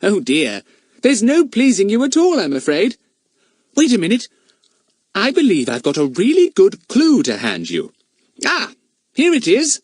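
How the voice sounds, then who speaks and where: A man's voice: fairly high pitch, big pitch swings, normal speed, no room sound, studio quality, pitched above the performer's own voice. A man voicing a cheeky, mock-polite schoolboy. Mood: mock-sweet. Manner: mock-polite and sweetly teasing.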